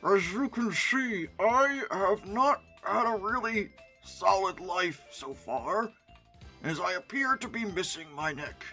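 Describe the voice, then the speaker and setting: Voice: medium pitch, animated, slow pace, sharp tone, faint music behind, exaggerated voice. A performer voicing a pitiful dinosaur who stoically endures a hard life, speaking plaintively and earnestly. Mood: sad, resigned.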